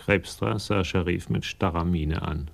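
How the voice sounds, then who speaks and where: An actor as the composed narrator of a detective radio drama, reading scripted prose. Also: male voice, low voice, measured pace, creaky, exaggerated voice. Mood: sober.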